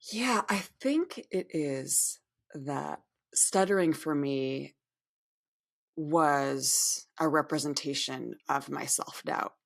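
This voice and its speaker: A woman in her thirties from upstate New York, a podcast guest. Her voice sits low with a gravelly edge, and she talks in a candid, reflective way, often circling back and restarting her words. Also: slow. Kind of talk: podcast interview.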